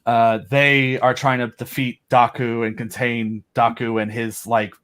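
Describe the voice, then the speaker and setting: A young man chatting on a home podcast mic, eager and rambling as he piles on details between fillers. His pitch sits in an ordinary middle range, and his talk is loose and unscripted. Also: little pitch movement, a little slow, fairly bright tone, smooth. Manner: rambling, enthusiastic, filler-heavy. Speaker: podcast guest. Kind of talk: casual chat.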